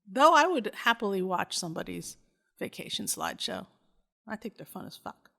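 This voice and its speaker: A woman's voice: mid-range voice, very animated, normal speed, creaky, bright, forceful tone. A woman hosting a podcast, speaking informally, in casual chat or reading her own script aloud. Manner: informal, self-deprecating, sweary. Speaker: podcast host.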